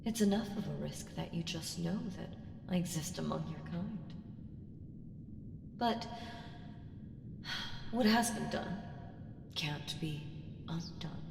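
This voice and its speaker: Slow, echoing, creaky ancient evil woman, acted out. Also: lowish voice, exaggerated voice. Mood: guarded, rueful. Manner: deliberate, teasing, condescending, dropping into breathy whispers. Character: ancient evil female entity, powerful, haughty, coolly menacing patron. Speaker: audio roleplay voice actor. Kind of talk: slow ASMR audio roleplay monologue. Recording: echoing long hall reverb, ambient hiss.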